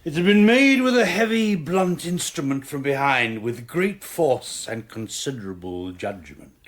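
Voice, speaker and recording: Radio drama surgeon: British, formal, emphatic, self-assured, with widely swinging intonation. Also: male voice, fairly high pitch, lively intonation, measured pace. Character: authoritative male surgeon and medical witness, precise, self-assured.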